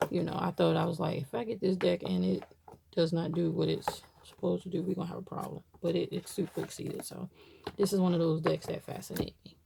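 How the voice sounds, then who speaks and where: A woman's voice: fairly low pitch, steady tone, fairly quick, gravelly, dark tone, slight background noise. A woman from Baltimore chatting casually to camera, rambling with many fillers as she shows items. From Baltimore, USA. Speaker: tarot deck reviewer.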